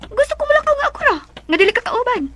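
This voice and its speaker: A woman's voice: very high-pitched, animated, racing, pushed and bright, a little hiss or hum, full character voice. An actress playing a petulant, sulky young girlfriend in a Cebuano Bisaya radio drama. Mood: snippy, defiant. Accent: Cebuano Bisaya, Philippines.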